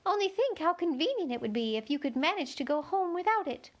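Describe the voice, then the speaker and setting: A tiny talking gnat from a children's storybook, acted out by a woman. The voice sits very high, well up in a small, piping register. It is careless and airy, explaining things matter-of-factly with a wistful streak. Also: very animated, a little fast, lo-fi sound. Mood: careless, coaxing. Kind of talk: children's storybook read-aloud.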